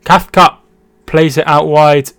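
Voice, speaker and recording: A man's voice: a little high, little pitch movement, takes it slowly, a bit bright, smooth, dry recording. A man hosting a casual football watch-along stream from home, reading out chat and calling the match. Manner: chatty, repeats words and restarts while reading chat.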